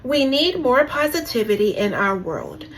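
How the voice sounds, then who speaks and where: Female reader as wise bee lady for a picture book: bright, slightly high, gentle, moralizing. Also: sing-song, normal speed. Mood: kindly advising. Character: kindly female bee giving wise advice.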